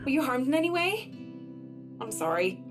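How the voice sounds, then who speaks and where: A woman's voice: high voice, very animated, moderate pace. An actress voicing a composed, courteous and apologetic woman in a visual novel, speaking formally. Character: polite, apologetic, composed tall woman cat owner. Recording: game music behind the voice.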